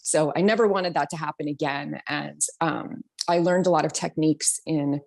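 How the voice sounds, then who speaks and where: A woman in her thirties from New York talks through her story as an interview guest. Her voice sits low, and she fills pauses with ums and soft laughs. It comes across as easy, chatty conversation. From New York City. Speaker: guest, singer and voice teacher. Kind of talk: casual interview talk.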